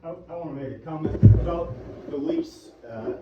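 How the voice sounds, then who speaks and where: A man in his thirties speaking haltingly at a county meeting, with stops and restarts. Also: a little high, huge pitch swings, vocal fry. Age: 30s. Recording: echoey, far from mic, dull, noisy.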